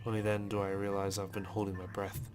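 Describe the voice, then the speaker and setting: A man reading visual novel text aloud as a let's player, in a measured, understated storytelling manner with brief asides. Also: fairly low pitch, completely flat delivery. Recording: music behind the voice. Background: game music.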